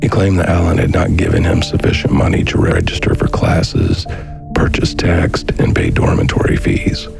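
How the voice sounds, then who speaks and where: A man reading scripted documentary narration in a measured, formal manner. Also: low-pitched, very animated, gravelly. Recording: faint music behind the voice. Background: faint background music.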